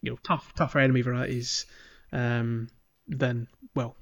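A man's voice: mid-range voice, fairly even intonation, moderate pace, fairly bright tone, dull audio. A man hosting a podcast, talking casually and reflectively about video games, with some hesitation as he goes. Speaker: podcast host. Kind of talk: casual video game discussion.

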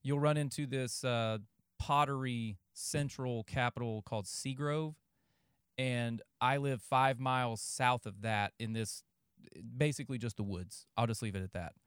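A man's voice: mid-range voice, fairly even intonation, measured pace, clear, resonant tone, no echo, clean studio sound. A young man from North Carolina hosting a podcast in casual chat, loose and rambling, swearing and laughing easily. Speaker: podcast host.

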